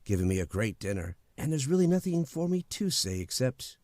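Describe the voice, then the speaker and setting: Man playing a wry, lively, modest drifter. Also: medium pitch, brisk, no echo, lightly acted. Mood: grateful. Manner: wry, sheepish. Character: easygoing, modest, broke drifter, a kind-hearted man. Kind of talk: old-time radio drama acting.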